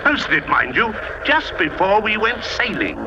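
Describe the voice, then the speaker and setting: Through heavy noise and a muffled old radio recording comes a spiteful, blustering man. His fairly high voice leaps up and down as he sputters threats and sneers in old-time radio drama style. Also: sing-song, a little slow. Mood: menacing, angry.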